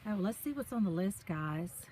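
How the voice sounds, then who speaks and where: A woman's voice: medium pitch, animated, normal speed, warm tone, a little hiss or hum. A woman reading a picture book aloud to children, speaking to them directly in simple, chatty asides. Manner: addresses young listeners directly in simple, encouraging asides. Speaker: children's story reader. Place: outdoors on a park trail.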